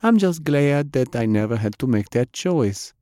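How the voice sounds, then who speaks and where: A man voicing a bookish young woman healer who speaks calmly and matter-of-factly. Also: mid-range voice, moderate pace, dry recording, clean studio sound, pitched above the performer's own voice, close to an everyday voice. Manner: calm, matter-of-fact. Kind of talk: audiobook character voice.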